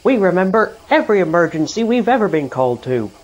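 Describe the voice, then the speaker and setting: A woman's voice: lowish voice, sing-song, fast, a little mellow, lo-fi sound. A woman playing a kindly, concerned long-serving rescue crane in an audio drama. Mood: somber.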